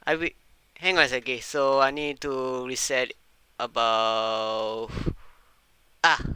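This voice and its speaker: A man speaking halting non-native English, giving casual live commentary while streaming a game. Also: mid-range voice, fairly even intonation, bright, forceful tone. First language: not English. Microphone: uncovered microphone prone to breath pops. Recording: very noisy uncovered mic with breath blasts.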